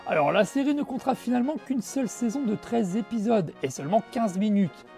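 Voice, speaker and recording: A woman's voice: fairly high pitch, animated, fairly quick, warm tone. A woman hosting a retro game show, narrating a review in a frank, conversational way with casual asides. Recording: background music under the voice.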